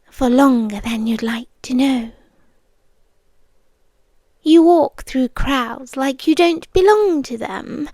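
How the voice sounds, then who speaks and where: Slow, breathy British vampire girlfriend played by a woman. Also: high voice, a little mellow, no echo, heavily acted. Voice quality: breathy, often dropping into whispers. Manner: slow, intimate, lingering delivery. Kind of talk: ASMR roleplay.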